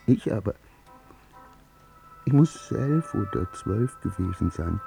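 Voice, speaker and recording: An actor in a German radio drama playing a wounded, vain husband haunted by shame, halting and intense. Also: male voice, fairly low pitch, sing-song, vocal fry, mellow, slightly rough, soft background music, heavily acted. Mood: anguished confiding.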